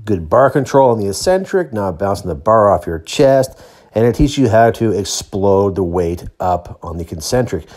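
A man's voice: fairly low pitch, lively intonation, moderate pace, darkish tone. A middle-aged man giving a casual, plain-spoken voice-over of training footage, explaining as he goes. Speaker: athlete and training vlogger.

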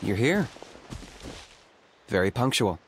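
An actor playing a calm, businesslike young adventurer in a video game, measured and deadpan. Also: male voice, mid-range voice, a little hiss or hum. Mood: dry, unruffled. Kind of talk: video game character dialogue.

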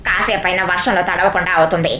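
A woman's voice: fairly low pitch, fairly even intonation, quick pace, sharp tone, slight background noise. A woman voicing a bird character in a children's cartoon story. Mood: concerned, practical. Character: bird villager and schoolmate in a children's tale.

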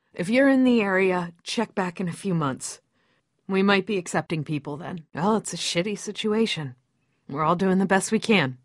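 A woman's voice: mid-range voice, dry recording, studio quality, lightly acted. A woman voicing a kindly, apologetic survivor camp gatekeeper in a video game, with a folksy, motherly, hesitant manner. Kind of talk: dramatic video game dialogue.

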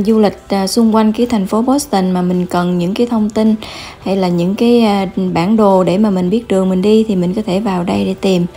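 A woman with a southern Vietnamese accent narrating an informal travel guide as a vlogger, often pausing mid-phrase. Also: mid-range voice, warm tone, slightly rough.

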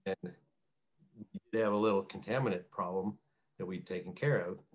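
A middle-aged farmer with a fairly low voice answers questions on a video call. He is a plain-spoken man, talking in a casual, folksy way. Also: normal speed. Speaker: farmer, guest. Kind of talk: casual interview talk. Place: small wood-panelled room at home. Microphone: computer mic on a video call.

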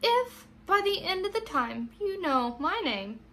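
A woman's voice: very high voice, big pitch swings, a little slow, a bit bright, slight background noise, voice pushed up, heavily acted. A woman voicing a demanding, gleeful little magical man in a storybook, with a taunting, bargaining manner.

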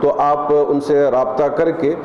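A man's voice: fairly high pitch, flat delivery, slow pace, darkish tone. A middle-aged man making a formal announcement as a cleric at a press conference. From India. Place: small bare indoor room. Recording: echoey, dull room sound, faint noise.

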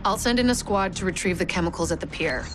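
A woman's voice: fairly low pitch, quick pace, over music, close to an everyday voice. A woman playing a focused, practical intelligence agent giving updates in a video game. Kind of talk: scripted mission briefing.

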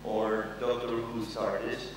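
A man's voice: fairly low pitch, flat delivery, takes it slowly, recorded from a distance, a little hiss or hum. A young man gives a casual, joking conference talk, full of fillers and the odd swear word. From Poland. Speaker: conference speaker. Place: large conference hall with an audience. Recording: echoey hall recorded from afar.

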